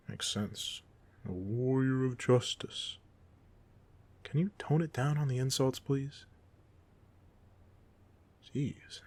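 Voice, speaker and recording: A man playing a goofy, soft-hearted supervillain off duty in an audio roleplay, talking casually with teasing, self-deprecating humor. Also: medium pitch. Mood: exasperated, frank. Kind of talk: ASMR roleplay monologue, casual conversational acting.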